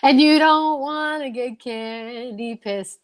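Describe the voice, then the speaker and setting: A woman's voice: high-pitched, slow. A woman giving playful, sing-song running commentary on a radio story, in a teasing manner. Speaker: show commentator.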